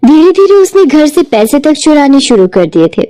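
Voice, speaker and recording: A woman narrating an animated bedtime story in a gentle, even read-aloud manner. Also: sits high, moderate pace, low-quality recording.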